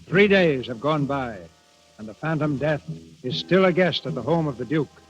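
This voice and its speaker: Casting: male 1930s radio announcer, dramatic and very animated, music underneath, old muffled recording. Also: fairly high pitch, normal speed, breathy edge.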